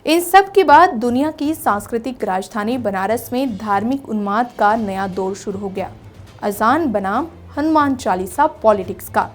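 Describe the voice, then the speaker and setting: A woman's voice: fairly high pitch, animated, normal speed. A woman presenting a scripted news report in an earnest, rhetorical manner. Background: background music.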